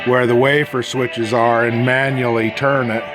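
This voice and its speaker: A man's voice: medium pitch, little pitch movement, a little slow. A middle-aged man giving a casual, plain-spoken hands-on narration of a repair, thinking aloud informally. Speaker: amateur radio restorer demonstrating a repair. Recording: music playing from a radio behind. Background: music from a vintage radio being tested.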